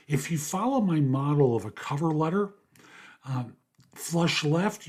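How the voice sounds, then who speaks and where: Older man, raspy hoarse voice, podcast host, practical career advice, steady plain-spoken delivery. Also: medium pitch. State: hoarse after an illness. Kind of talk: casual advice monologue.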